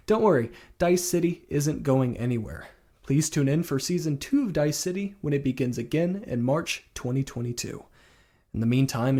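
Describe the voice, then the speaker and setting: A man's voice: a little high, warm tone. A man reading a scripted show announcement as the announcer of a fiction podcast.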